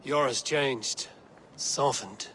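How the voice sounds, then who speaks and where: A man playing a steady, blunt Norse warrior in a video game, speaking in terse, deliberate phrases. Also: medium pitch, slight background noise. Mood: firm, measured. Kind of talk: dramatic video game dialogue.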